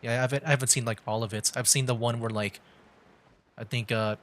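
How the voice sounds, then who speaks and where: A man's voice: mid-range voice, steady tone, quick pace, fairly bright tone. A man giving casual, rambling commentary on a game livestream, often repeating himself as he chats. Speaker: livestreaming VTuber gamer.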